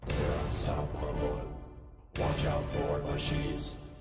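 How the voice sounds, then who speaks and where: A man performing an ominous, dramatic warning voice about doom, slow and rough, over music. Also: on the high side, very animated, exaggerated voice.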